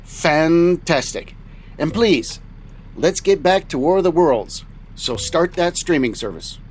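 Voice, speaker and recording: A man's voice: fairly high pitch, animated, takes it slowly, slightly rough, heavily acted. A man playing a boyish, easygoing trucker captain in a comedy audio drama, with a casual, playful way of talking. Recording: faint vehicle noise behind.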